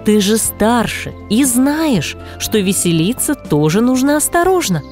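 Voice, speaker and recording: Woman voicing a caring, gently scolding mother pig. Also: on the high side, sing-song, measured pace, faint music behind. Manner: instructive, motherly. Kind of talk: children's toy-play cartoon dubbing.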